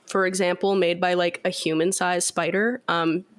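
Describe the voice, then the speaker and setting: A woman's voice: lowish voice, level tone, moderate pace. A woman with a cold sounding congested, chatting on a podcast as she explains a science fact with lots of fillers. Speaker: podcast co-host.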